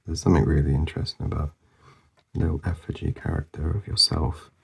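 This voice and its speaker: Gravelly, mumbling low-voiced man. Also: fairly even intonation, fast. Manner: mumbling, rambling and soft-spoken, often slipping into a whisper. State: losing his voice. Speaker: artist demonstrating a drawing. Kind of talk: casual drawing commentary.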